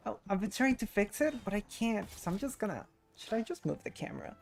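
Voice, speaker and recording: A woman's voice: mid-range voice, sing-song, fairly quick, vocal fry. A woman livestreaming a game with casual, rambling, giggly chat. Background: game music.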